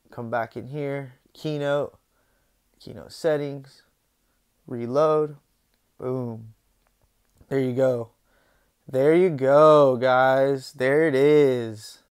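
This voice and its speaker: Casual man with a smooth voice, narrating a screen tutorial. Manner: relaxed, conversational, says "boom" and "guys" often. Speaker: software tutorial host.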